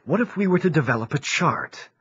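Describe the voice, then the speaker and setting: An earnest husky preacher. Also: male voice, a little high, lively intonation, fairly quick, fairly bright tone, no echo, exaggerated voice. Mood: eager. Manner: earnest, heartfelt delivery. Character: earnest 1840s male preacher, devout and gentle. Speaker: Charles Fitch. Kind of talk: radio drama acting. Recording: dull lo-fi recording with music.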